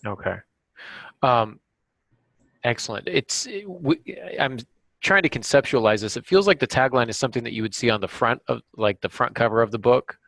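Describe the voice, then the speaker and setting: A man's voice: medium pitch, moderate pace, fairly bright tone, no room sound. A man hosting a podcast, summarizing and explaining in a conversational interview style. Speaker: podcast host.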